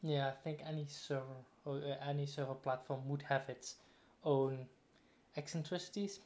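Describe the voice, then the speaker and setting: A man who plays with the host, chatting casually over voice chat and calmly explaining things step by step. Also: a little high, moderate pace. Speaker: fellow player.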